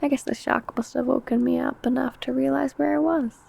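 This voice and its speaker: Woman playing a sly, hushed, creaky-voiced vampire coworker. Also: high voice, steady tone, brisk, heavily acted. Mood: casual, gossipy. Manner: hushed, chatty, coy, drifting into whispers. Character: secretive female vampire coworker, older senior, sly and possessive. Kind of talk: ASMR roleplay addressed to the listener.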